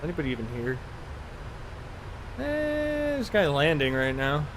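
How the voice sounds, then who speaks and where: A man's voice: a little high, very animated, moderate pace, noisy background. A man live streaming a flight simulator in casual chat, rambling in an offhand, mildly profane way.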